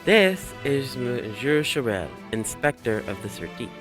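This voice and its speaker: A man's voice: medium pitch, very animated, measured pace, over music. A man playing a jealous, hot-tempered young aristocrat in a radio play, blunt and irritable. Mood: irritated.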